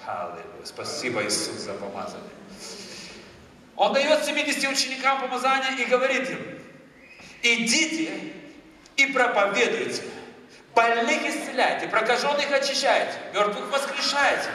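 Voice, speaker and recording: Moldovan-accented preacher in an echoing hall. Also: male voice, extremely high pitch, big pitch swings, moderate pace, sharp tone. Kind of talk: lively charismatic sermon. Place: large church hall with a stage. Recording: echoey church hall, far from the mic.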